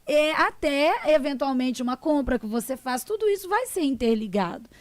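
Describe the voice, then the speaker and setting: High-voiced woman lawyer explaining. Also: animated, moderate pace, no room sound. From Brazil. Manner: didactic, acts out clients' words in quotes. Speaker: social security lawyer, guest. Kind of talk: explanatory interview talk.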